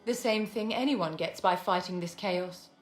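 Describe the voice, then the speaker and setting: An actress playing a poised, aristocratic mage in a video game, speaking in a measured, courtly and haughty manner. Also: female voice, fairly low pitch, lightly acted. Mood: poised, assured. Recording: reverberant hall with music underneath.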